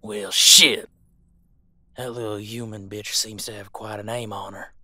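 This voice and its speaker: A man playing a gruff, scornful veteran monster hunter in an audio roleplay, folksy and bossy. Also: fairly low pitch, little pitch movement, measured pace, bright, forceful tone, slight background noise. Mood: irritated.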